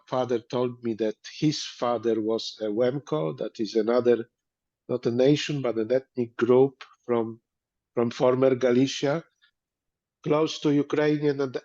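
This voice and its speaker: A man from Poland with a Polish accent, speaking in an interview as a guest and pausing often with fillers and restarts. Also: medium pitch, mellow, highs cut off. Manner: hesitant, many fillers and restarts. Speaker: museum director, guest. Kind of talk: interview, casual talk.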